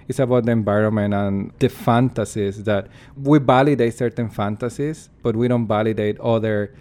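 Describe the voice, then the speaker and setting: Earnest, reflective man, lowish voice. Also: steady tone, moderate pace, darkish tone. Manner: earnest, reflective, searching for words. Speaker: performance artist. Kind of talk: interview answers.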